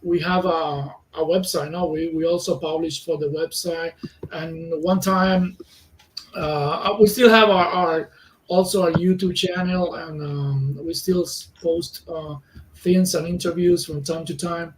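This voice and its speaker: Man from Cuba, native Spanish speaker, hesitant halting English, fairly high voice, roomy echo. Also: steady tone, a little hiss or hum. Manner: hesitant, many fillers, searching for words. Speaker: magazine editor, interview guest. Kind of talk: casual interview.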